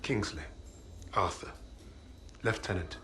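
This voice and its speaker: An actor playing a firm, defiant captured Allied commanding officer, terse and formal. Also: male voice, low voice, takes it slowly, pushed and bright, roomy sound, a little hiss or hum, exaggerated voice. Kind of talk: cinematic war game acting.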